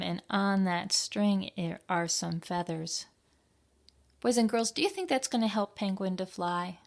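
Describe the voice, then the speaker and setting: Slow-talking woman reading a children's storybook. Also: lowish voice, gravelly. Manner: expressive, pauses to ask the children questions. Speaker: library storytime reader. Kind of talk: reading a picture book aloud to young children.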